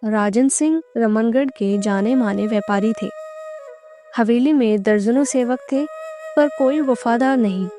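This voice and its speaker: A woman's voice: a little high, measured pace, warm tone. A woman reading scripted narration for a moral story as its narrator. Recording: clear background music under narration.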